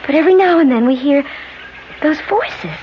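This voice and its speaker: An actress playing a frightened but determined woman caught between life and death in a 1970s radio drama, breathless and halting, repeating herself. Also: high voice, animated. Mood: anxious. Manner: breathless, pleading, halting and repetitive. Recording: noisy, dull 1970s radio broadcast.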